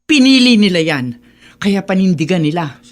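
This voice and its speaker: A woman's voice: on the low side, big pitch swings, moderate pace. Stern scolding mother, swooping intonation. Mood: bitter, unyielding. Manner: scolding, emphatic. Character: stern, unforgiving middle-aged mother, proud and hurt. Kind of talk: Tagalog radio drama acting.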